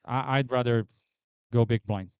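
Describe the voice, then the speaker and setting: A man speaking on a conference panel, loud and decisive. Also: mid-range voice, steady tone, measured pace, clean tone, no echo, muffled recording. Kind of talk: panel discussion.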